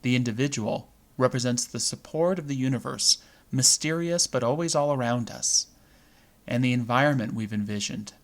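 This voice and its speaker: A man leading a guided visualization meditation, speaking in measured, deliberate phrases with pauses between them. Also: medium pitch.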